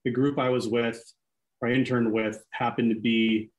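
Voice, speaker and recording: A man's voice: mid-range voice, little pitch movement, moderate pace. A man in his forties with Greek as his first language, talking casually as a podcast guest, with many fillers and restarts. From Seattle. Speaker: interview guest.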